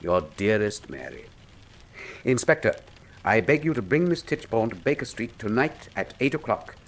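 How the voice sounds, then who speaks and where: Theatrical, animated English detective. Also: male voice, fairly low pitch, moderate pace, a little hiss or hum. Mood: wry. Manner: theatrical, precise, formal diction. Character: shrewd, imperious, wryly witty English male detective. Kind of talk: full-cast radio drama acting.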